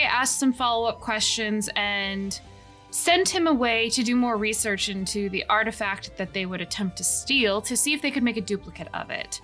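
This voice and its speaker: A woman's voice: medium pitch, bright, forceful tone. A woman running a tabletop game who recaps the story and chats casually with her players, laughing easily. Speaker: game master. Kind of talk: recap narration and casual play.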